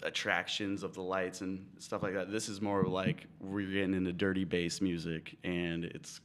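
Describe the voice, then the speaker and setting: A man's voice: deep voice, flat delivery, normal speed, fairly bright tone. A man, a guest on a radio show, talking in a loose, laid-back way. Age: 30s. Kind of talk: casual on-air interview.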